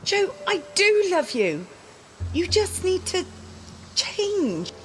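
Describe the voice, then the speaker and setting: A woman's voice: very high-pitched, very animated, slow pace, a little hiss or hum, exaggerated voice. A woman playing a volatile, needy, cutting girlfriend in an intimate audio drama, blunt, profane and intense. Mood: angry, insistent. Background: music.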